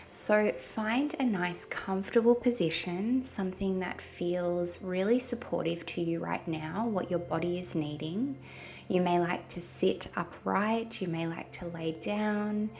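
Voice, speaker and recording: A woman leading a guided meditation in a gentle, soothing manner with long pauses between phrases. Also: on the low side, creaky. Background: soft background music.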